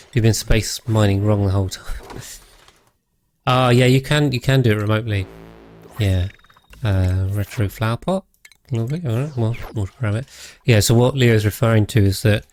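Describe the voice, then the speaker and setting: A British man with a calm, measured voice, giving casual game commentary and chatting with viewers as he streams. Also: on the low side, slight background noise. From Britain. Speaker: live streamer, host.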